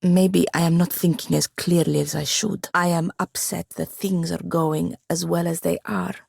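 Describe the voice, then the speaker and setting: A woman's voice: on the low side, fairly even intonation, normal speed, creaky, darkish tone, breathy edge, no room sound, exaggerated voice. An actress playing a proud, bookish young wizard woman in a fantasy video game, speaking formally with a dry, haughty manner. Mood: frank, uncertain. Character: proud, bookish, sharp-tongued young wizard woman, newly freed apprentice. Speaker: companion character in a fantasy role-playing game. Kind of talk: scripted video game dialogue.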